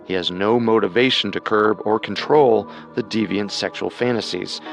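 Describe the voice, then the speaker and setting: A man co-hosting a scripted true-crime programme, narrating in a measured, dramatic way with music behind him. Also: on the low side, creaky. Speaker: co-host narrator.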